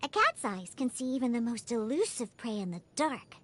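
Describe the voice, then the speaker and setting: A woman's voice: a little high, sing-song, normal speed, fairly bright tone. A woman voicing a feisty, proud young cat-eared girl bartender in a video game, pouty and bratty with cutesy delivery. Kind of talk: video game character voice lines.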